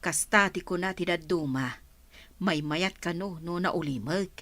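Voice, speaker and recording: A woman acting as a gentle, doting older mother in a radio drama. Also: fairly low pitch, measured pace. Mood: motherly concern.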